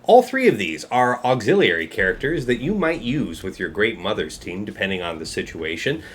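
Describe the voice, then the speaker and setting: A man's voice: lowish voice, very animated, normal speed, a bit bright, husky. A man in his forties with a stuffed-up head cold, casually explaining a mobile game build from his desk, reading out ability text as he goes. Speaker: game guide creator. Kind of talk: mobile game strategy guide. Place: small room indoors.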